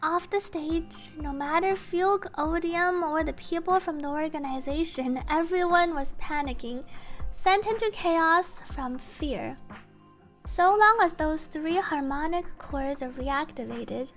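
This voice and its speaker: A woman's voice: sits high, a little slow, a bit bright. A woman streamer reading translated game dialogue aloud in an emotive, dramatic manner. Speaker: streamer reacting to game cutscenes. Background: game music.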